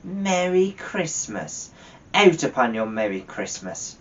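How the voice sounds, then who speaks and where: A man's voice: on the high side, sing-song, takes it slowly, pitched above the performer's own voice. Far-off dull recording, man playing old male miser, storybook character, grumpy, scornful, stern, growling, indignant. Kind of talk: dramatised storybook reading. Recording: distant, dull lo-fi microphone.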